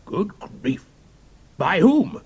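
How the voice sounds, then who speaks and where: An actor playing a gruff, commanding British army general in an audio drama, with clipped, authoritative, excitable delivery. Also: male voice, high voice, drawn-out words, full character voice. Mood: incredulous, stern.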